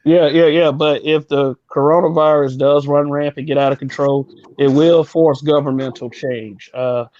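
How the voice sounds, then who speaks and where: Opinionated man with a higher voice. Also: fairly even intonation, moderate pace, a little mellow, no room sound, muffled recording. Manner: opinionated, rambling with restarts. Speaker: podcast co-host. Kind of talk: casual chat.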